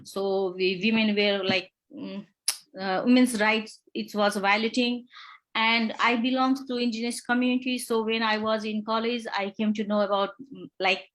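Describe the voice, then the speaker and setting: A young woman from Nepal, a human rights lawyer, answering interview questions haltingly, with many fillers and restarts. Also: medium pitch, steady tone, a bit bright, poor audio.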